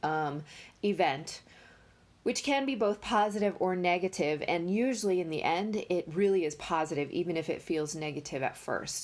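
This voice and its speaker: A woman giving a casual tarot reading, talking in a nasal, congested voice with many pauses and fillers. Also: on the low side, a little mellow. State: congested from allergies. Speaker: tarot reader.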